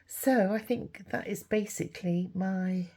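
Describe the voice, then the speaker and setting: Croaky woman, half-whispered craft commentary, slow and mellow, husky. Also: mid-range voice, fairly even intonation. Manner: half-whispered, musing to herself while working. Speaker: craft video host. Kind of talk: soft-spoken crafting commentary.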